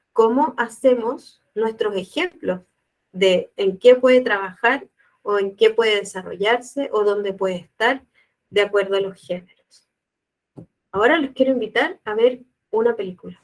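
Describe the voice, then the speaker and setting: A woman giving a calm, explanatory educational talk to teachers and addressing viewers directly. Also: on the high side. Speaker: teacher trainer. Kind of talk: educational presentation.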